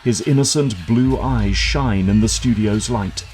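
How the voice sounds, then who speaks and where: A man delivers scripted dramatic narration as the narrator of an audio drama. His voice sits on the low side, with music clearly playing behind it. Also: unhurried, darkish tone.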